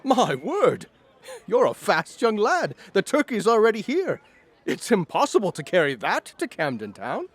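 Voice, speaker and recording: An actor playing a reformed old miser in an audio drama, effusive and courtly, generous and humble. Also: male voice, high-pitched, wildly swooping pitch, measured pace, exaggerated voice. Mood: giddy delight. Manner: exclamatory, effusive, old-fashioned courtly speech.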